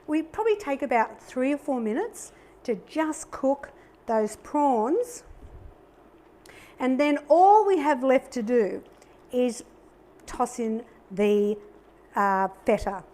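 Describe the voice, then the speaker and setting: A woman's voice: sits high, big pitch swings, slow pace, long pauses, dark tone. A middle-aged Australian woman hosting a cooking show, chatting in a bubbly, upbeat way while she demonstrates a recipe.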